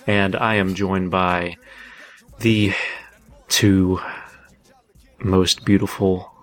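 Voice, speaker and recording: A man hosting a casual podcast in a halting, self-deprecating way. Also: deep voice, level tone. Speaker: podcast host.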